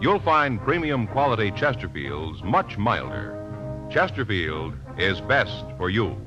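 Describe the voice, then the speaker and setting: An American man announces in scripted 1950s radio style. His low voice moves with lively intonation. Music sits behind him on a dull old broadcast recording. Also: takes it slowly.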